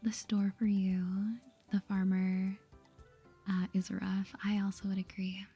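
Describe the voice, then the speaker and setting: Breathy whispering woman, ASMR gaming. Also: medium pitch, monotone, unhurried. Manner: gentle, chatty. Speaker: gaming video host. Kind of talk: whispered soft-spoken ASMR game commentary, reading dialogue aloud. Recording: soft music behind the voice.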